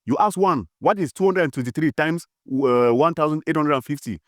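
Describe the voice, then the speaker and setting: Male motivational speaker, off the cuff, emphatic and repetitive, slightly high-pitched, dry recording. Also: a little fast, dry recording. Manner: emphatic and repetitive, with frequent fillers. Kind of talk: unscripted motivational talk.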